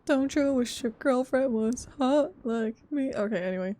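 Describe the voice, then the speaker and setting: A woman streaming a video game, reacting casually in a playful, expressive way. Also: high voice, normal speed. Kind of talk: casual live gameplay reactions.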